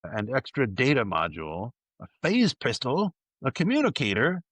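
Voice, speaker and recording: A man's voice: mid-range voice, huge pitch swings, normal speed, a bit bright, husky, no room sound. A man presenting a fan news segment as a podcast host, partly scripted, with playful enthusiasm and wry asides.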